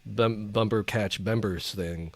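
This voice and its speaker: A man's voice: lowish voice, steady tone, slow, gravelly. A man co-hosting a podcast in casual fan chat, effusive and emphatic, often repeating his phrases. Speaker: podcast co-host.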